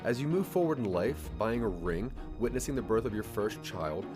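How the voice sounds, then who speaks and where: A man with a fairly low voice narrates a scripted audio drama. His storytelling is measured and addressed to the listener. Light music runs behind him. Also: expressive.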